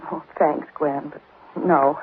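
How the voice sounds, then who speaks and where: A woman's voice: low voice, slow. An actress playing a guarded, uneasy businesswoman, polite and hesitant, in 1940s radio drama style. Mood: subdued, uneasy. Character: uneasy, guarded businesswoman hiding her nerves. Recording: tinny, faintly noisy 1940s radio recording.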